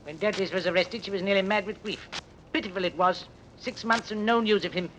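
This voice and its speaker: An actor playing a gossipy, bitter tailor who tells tales eagerly in a 1930s radio drama. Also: male voice, sits high, brisk, fairly bright tone, slight background noise, low-quality recording. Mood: indignant, gossipy.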